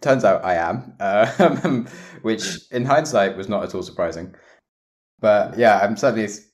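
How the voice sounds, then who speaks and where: A young man hosting a podcast, asking questions in a relaxed, chatty way with lots of "like". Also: fairly low pitch, a little slow. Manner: relaxed, chatty, uses "like" a lot. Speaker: podcast host. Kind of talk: casual interview chat.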